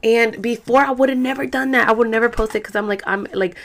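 A woman's voice: fairly high pitch, fast talker, a bit bright. A young adult woman with a Salvadoran accent, hosting a podcast in a chatty, slangy, rambling way with lots of fillers. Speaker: podcast host. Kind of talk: casual chat.

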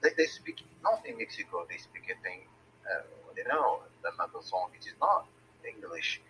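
A man speaks slow, halting English, stopping often to restart a phrase. His voice sits low, with a bright, forward edge. A faint hiss runs behind him. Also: sing-song, rough recording. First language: Arabic. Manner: hesitant, many restarts and fillers. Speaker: English learner. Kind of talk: casual conversation practice.

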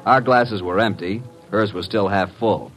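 An actor playing a wry, cheeky, streetwise detective's assistant with a breezy, mock-polite manner, in 1950s radio drama style. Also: male voice, lowish voice, measured pace, fairly bright tone, soft background music. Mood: wry narration.

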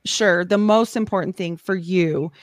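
A woman hosts a podcast with a fairly low voice, chatting easily and readily agreeing with her guest. The sound is close and dry, with no room around it. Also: unhurried, no echo. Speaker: podcast host. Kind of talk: casual podcast conversation.

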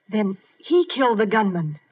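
An actress playing an earnest, soft-hearted young woman in a 1950 radio mystery drama. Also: medium pitch, very animated, a little slow. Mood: shocked. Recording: muffled old 1950 radio broadcast.

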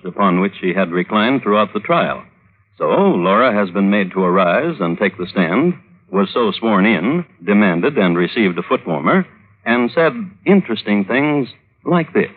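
A low-voiced, droll male storyteller in a vintage radio drama. He narrates scandal with mock solemnity and very varied intonation. The sound is narrow and muffled, like an old broadcast.

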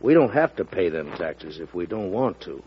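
An actor playing a plainspoken rural auctioneer plotting against a tax, in a 1940s radio drama. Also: male voice, deep voice, big pitch swings, normal speed, slight background noise. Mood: scheming. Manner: plainspoken, folksy grammar. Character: blunt rural male auctioneer stirring up a tax revolt. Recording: dull old radio recording.

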